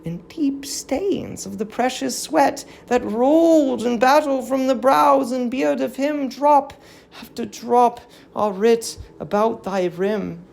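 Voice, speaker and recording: A woman's voice: on the high side, takes it slowly, vocal fry, a little mellow, pitched above the performer's own voice, full character voice. A woman playing a grieving, dignified old queen in a Greek tragedy reading, with solemn, declamatory verse delivery. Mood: solemn grief. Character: grieving old queen mourning her dead grandchild, bitter, dignified.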